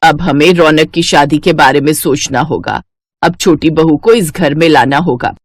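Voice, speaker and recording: Woman voicing a bossy, low-pitched middle-aged mother-in-law. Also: moderate pace, slightly rough, no room sound, studio quality. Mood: matter-of-fact. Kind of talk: Hindi cartoon story dialogue.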